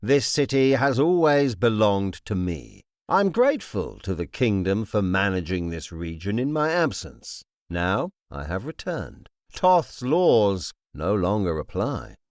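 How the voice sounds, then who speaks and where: A man playing a composed, imperious necromancer lord in an audiobook, speaking formally and with measured, polite menace. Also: on the low side, sing-song, a little mellow, no echo, studio quality. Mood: calm, commanding. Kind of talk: audiobook character acting.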